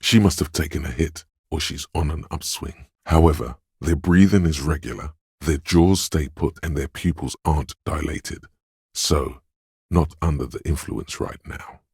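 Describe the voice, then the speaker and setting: A wry, watchful inner sense of perception from a game, speaking in an extremely low, heavily husky voice. He notes small details in measured, dry observations, close to the mic with no room sound. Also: darkish tone, no echo, full character voice. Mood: observant. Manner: measured, observant, dryly descriptive. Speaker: voice of a detective's perception skill. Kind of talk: scripted game voice lines.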